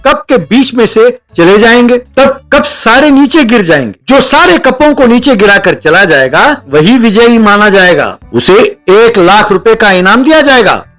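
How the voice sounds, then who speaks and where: Cartoon village boss, male narrator acting: bullying, pompous, high-pitched for a man, faint music. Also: sing-song, unhurried, hardly any pauses, a bit bright, heavily acted. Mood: announcing. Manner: pompous, domineering. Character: pompous bullying village headman, rich indulgent father. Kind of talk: comedy cartoon story voice.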